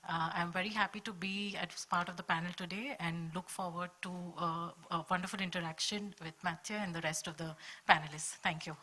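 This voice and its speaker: A woman trade advisor politely introducing herself on a panel, speaking in a measured way with some fillers. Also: lowish voice, fairly even intonation, pushed and bright.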